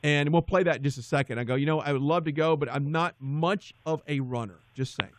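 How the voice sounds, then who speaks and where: A man hosting a radio interview, enthusiastic and quick to affirm his guest. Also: a little high, dry recording. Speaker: radio show host.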